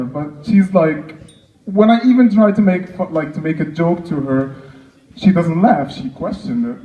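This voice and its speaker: A young man doing conversational stand-up comedy, halting and self-deprecating, acting out short exchanges. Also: on the high side, distant mic. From Egypt. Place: live stand-up show in an echoey room. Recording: dull, echoey live room recording.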